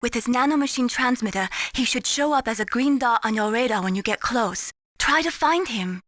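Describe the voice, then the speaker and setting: A woman voicing an earnest, caring young mission support analyst in a video game, encouraging and gently solicitous. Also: on the high side, normal speed, no room sound, close to an everyday voice. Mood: explanatory.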